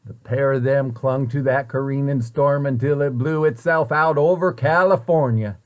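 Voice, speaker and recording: A man reading a children's picture book aloud with expressive, deliberate storyteller phrasing. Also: mid-range voice.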